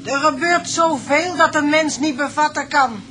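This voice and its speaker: Ominous, guarded farm woman, high bright voice, radio play with faint noise. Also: unhurried. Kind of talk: Dutch radio drama acting.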